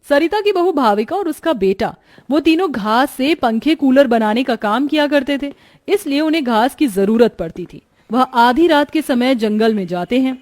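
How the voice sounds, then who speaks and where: A woman's voice: sits high, animated, moderate pace, darkish tone. A woman reading scripted narration for a children's moral story.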